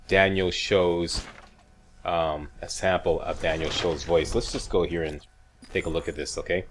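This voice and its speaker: Deep-voiced man, casual game walkthrough. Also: a little hiss or hum. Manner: chatty, wry, mildly profane, checks in with "okay" and "right". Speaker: video game walkthrough host.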